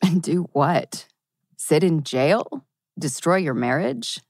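A woman's voice: low-pitched, animated, slow pace, a little mellow, dry recording, clean studio sound, exaggerated voice. A woman acting an anxious, wry young woman at a teen drama table read. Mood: anxious, weary.

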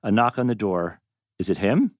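Animated man reading stage directions. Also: on the low side, moderate pace, dry recording, clean studio sound. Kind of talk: reading script directions at a live table read, with ad-libbed asides.

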